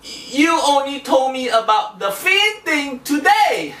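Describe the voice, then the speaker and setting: A woman's voice: high-pitched, sing-song, takes it slowly, a bit bright, exaggerated voice. A woman voicing a naive, easily confused young fish puppet, hesitant and full of questions, in a children's show. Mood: hesitant, dismayed. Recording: roomy echo, distant microphone.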